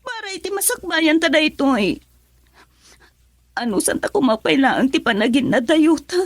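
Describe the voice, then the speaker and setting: A woman's voice: high voice, sing-song, moderate pace, no echo. A woman playing a young wife who is hurt and pleading with her husband in a radio drama. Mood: tender, pleading.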